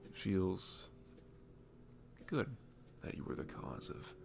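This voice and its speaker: Casting: male actor as cute, soothing barista for ASMR audio. Slow, gentle, rambling delivery with lively swings in pitch, over clear background music. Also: exaggerated voice. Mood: comforting, musing. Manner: gentle, rambling, hesitant, breathy chuckles and sighs. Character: cute, sweet, comforting young male barista chatting. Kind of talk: ASMR roleplay, soft casual chat.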